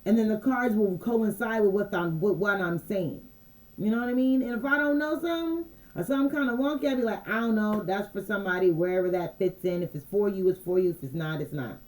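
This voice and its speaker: A woman's voice: mid-range voice, brisk, rough recording. A woman who does intuitive card readings, talking casually to her viewers and often checking in with them. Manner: relaxed, conversational, repeats phrases and asks "you dig me?". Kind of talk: casual channeled message livestream.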